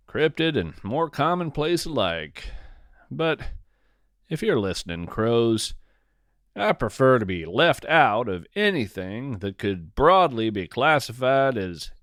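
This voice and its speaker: A man's voice: mid-range voice, a bit bright, dry recording, studio quality. A man hosting a podcast, reading scripted first-person whimsical fiction with wry, conversational asides. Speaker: podcast host and narrator.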